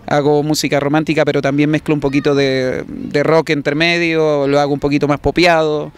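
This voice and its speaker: A man's voice: on the high side, little pitch movement, brisk, clean tone. A young man with a Chilean accent, a singer answering casually in a promotional interview outdoors into a handheld microphone. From southern Chile. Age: young adult. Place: outdoors in an open public plaza. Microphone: handheld reporter microphone held by interviewer.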